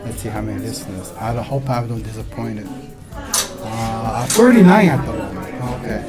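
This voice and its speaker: A man's voice: fairly low pitch, lively intonation, a little fast. A man hosting a casual live radio interview, often interjecting and echoing what he hears. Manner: interjects and echoes the guest's words. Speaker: radio host. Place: live radio broadcast at a market pop-up event. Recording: loud music behind. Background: loud music.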